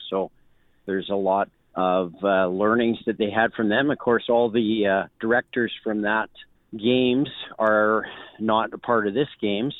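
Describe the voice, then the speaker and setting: Low-voiced man on a tinny phone line. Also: dry recording. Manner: halting, with many fillers and restarts. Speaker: games organizer interviewed.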